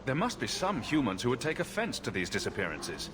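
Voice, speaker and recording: An actor playing a composed, sympathetic male adventurer in a video game, asking questions in a measured way. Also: mid-range voice, wildly swooping pitch, background noise. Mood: calm, probing. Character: composed, helpful young male hero adventurer, sympathetic and resolute. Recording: music behind the voice. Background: game music.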